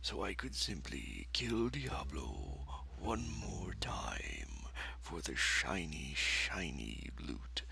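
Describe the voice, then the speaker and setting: A man's voice: low voice, big pitch swings, slow pace, vocal fry, bright, forceful tone. A man narrating a scripted top-five game review list in a pompous, dramatic, formal manner over music.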